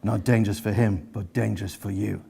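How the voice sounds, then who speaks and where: A man reading aloud as a stern, protective schoolboy who speaks in formal, measured, unyielding phrases. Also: fairly low pitch, creaky, heavy background noise. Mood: calm menace. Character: stern, protective foreign schoolboy, defiant and solemn.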